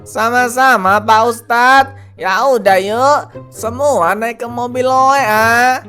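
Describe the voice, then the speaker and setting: A woman voicing an earnest, defensive male cattle trader in a cartoon, with drawn-out exclamations and questioning tags. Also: a little high, steady tone, fairly bright tone, soft background music. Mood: obliging. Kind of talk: animated cartoon dialogue.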